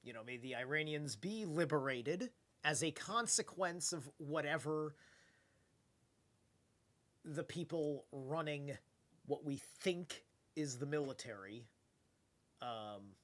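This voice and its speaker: This is a tired man with a blocked, stuffy nose, rambling through a casual late-night stream. He talks at a slow, drawn-out pace in a slightly raised voice. He drifts loosely from thought to thought and swears offhandedly. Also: on the high side, lively intonation, a bit bright. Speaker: live streamer.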